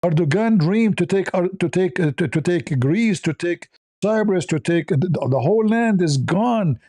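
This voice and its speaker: Mellow-voiced, combative non-native male commentator. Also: high voice, lively intonation, moderate pace, no echo, clean studio sound. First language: not English. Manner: combative, repeats rhetorical questions. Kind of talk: informal religious and political commentary.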